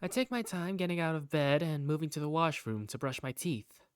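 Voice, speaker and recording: A woman plays an easygoing young visual novel protagonist in a fan dub. Her voice sits low for a woman and moves animatedly, with a casual, wry, teasing delivery. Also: lively intonation, a little fast, smooth, no room sound, studio quality. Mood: laid-back. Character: easygoing young protagonist narrating everyday life, wry and playful. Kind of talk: visual novel fandub narration and dialogue.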